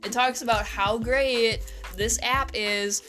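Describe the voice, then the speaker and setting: A young woman co-hosting a podcast in casual chat, blunt and deadpan, teasing and swearing freely. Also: on the high side, slow, faint music behind. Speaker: podcast co-host.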